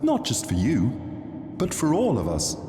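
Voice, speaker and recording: Condescending theatrical master of ceremonies, wildly swooping pitch, slow drawl, mock courtesy, game dialogue in a cavernous hall. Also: male voice, wildly swooping pitch, music in the background. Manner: theatrical, drawling, swooping delivery with dramatic pauses. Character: theatrical male stage director, mockingly polite, pitying, condescending. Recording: long echoing hall reverb, faint noise.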